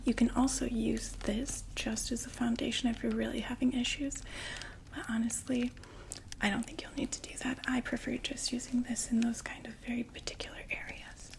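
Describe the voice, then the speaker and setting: A woman in an ASMR roleplay voicing a chatty undead female vampire makeup saleswoman, whispering softly and reassuringly. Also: on the high side, gravelly, slight background noise. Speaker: ASMR performer.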